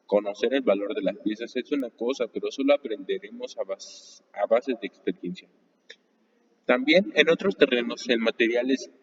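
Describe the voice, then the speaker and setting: A man's voice: mid-range voice, breathy edge. Man reading aloud from a distant, echoey mic. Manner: measured reading with occasional restarts. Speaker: reader of a book. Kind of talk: live reading aloud of a nonfiction book. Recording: distant mic, long reverb, dull lo-fi sound.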